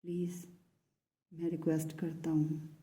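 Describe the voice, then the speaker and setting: A woman speaking in first person as a lovesick man who pleads tenderly with his beloved, in a soft, hushed voice that often drops to a whisper. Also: deep voice, monotone, unhurried. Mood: tender, pleading. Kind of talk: channelled love message in first person.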